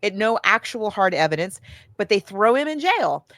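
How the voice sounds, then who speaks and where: A woman's voice: mid-range voice, sing-song, normal speed, a bit bright, no echo. A woman podcast co-host casually recapping a film, thinking aloud and backtracking as she goes, slipping into casual quoted asides. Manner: acts out characters' lines in casual asides.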